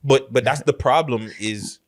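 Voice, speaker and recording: A man's voice: mid-range voice, moderate pace, dry recording, clean studio sound. A man on a podcast panel, debating casually in slangy, conversational speech.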